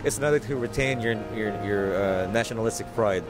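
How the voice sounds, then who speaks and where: Lower-voiced Filipino man over music. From Philippines. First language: Tagalog. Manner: friendly, measured, with frequent fillers. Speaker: conversation partner. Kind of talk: casual conversation. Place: in Tokyo.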